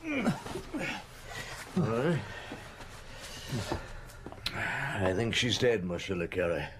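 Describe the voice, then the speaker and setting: An actor playing a grave middle-aged chief inspector in a radio drama, terse, musing and understated. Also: male voice, on the low side, wildly swooping pitch, slow pace, very noisy, heavily acted. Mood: shocked, subdued.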